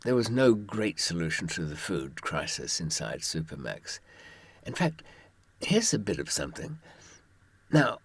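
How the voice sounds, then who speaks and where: A man with a husky voice telling first-person stories and advice informally, with wry, understated humour. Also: deep voice, sing-song, unhurried, no room sound. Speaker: author and former prisoner giving advice.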